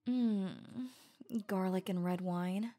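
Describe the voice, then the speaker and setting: A woman voicing an affectionate, teasing, possessive werewolf lover in intimate ASMR roleplay, soft and breathy, often slipping into a whisper. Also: medium pitch, close to an everyday voice. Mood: sultry, playful. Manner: slow, sultry, playful murmuring.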